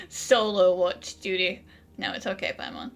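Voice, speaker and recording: A woman's voice: mid-range voice, fairly even intonation, unhurried, vocal fry, faint music behind. A woman streaming a video game, giving casual, chatty commentary and talking back to the characters. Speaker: gaming streamer.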